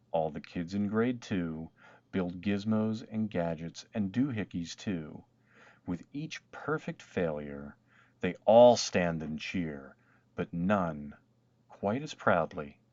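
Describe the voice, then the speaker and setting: A man narrating a rhyming storybook aloud in a measured storytelling manner. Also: sits low, darkish tone, no echo, muffled recording.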